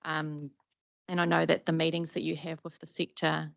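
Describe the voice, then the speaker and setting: Brief: New Zealand woman, very low, flat, gravelly voice, committee member giving thanks. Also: no room sound. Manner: appreciative, halting with ums. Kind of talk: meeting remarks.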